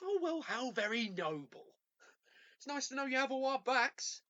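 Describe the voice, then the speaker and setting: A woman plays a haughty, sarcastic city high-flyer in a radio play. The voice sits high and swings dramatically up and down with pompous scorn. The recording is somewhat dull and homemade. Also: very animated, moderate pace, pushed and bright, full character voice. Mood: sarcastic, smug. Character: arrogant, sarcastic city professional dismissing the others. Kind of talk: amateur radio play acting.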